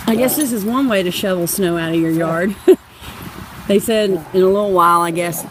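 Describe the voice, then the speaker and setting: A woman's voice: on the low side, normal speed, a crowd in the background. A woman, chatting and giggling affectionately as she films a home video outdoors in a snowy yard on a handheld phone camera. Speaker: vlogger filming her husband. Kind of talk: casual home video narration.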